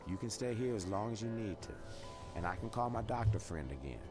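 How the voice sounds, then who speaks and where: Guy voicing a chill supportive pal in a game, lower voice, music under it. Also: animated, a little fast, lightly acted. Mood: reassuring. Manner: reassuring, informal. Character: supportive, easygoing male friend. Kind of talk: game voice acting.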